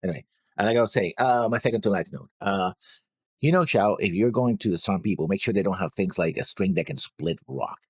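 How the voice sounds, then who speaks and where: A man co-hosting a reaction show in casual chat, halting and often trailing off. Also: deep voice, breathy edge, dry recording, studio quality. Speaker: co-host of anime reaction show.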